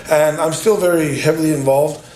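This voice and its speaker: A man with a fairly high voice, guesting on a podcast, talks sincerely, using plenty of fillers and laughing easily. The room sounds echoey, with faint noise underneath. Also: fairly even intonation, brisk, a little mellow. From United States. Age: adult. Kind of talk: casual interview chat. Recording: roomy with faint background noise.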